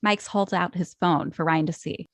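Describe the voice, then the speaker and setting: A woman's voice: lowish voice, steady tone, moderate pace, no echo. Woman reading stage directions, dry sound. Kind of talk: scripted narration.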